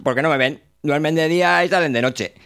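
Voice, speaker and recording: A man's voice: fairly high pitch, brisk, fairly bright tone, smooth, pitched above the performer's own voice. A man playing an aggrieved old homeowner who poses as a ghost in an audio drama, complaining about his lot. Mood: indignant, grumbling. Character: grumbling evicted old male owner posing as a ghost.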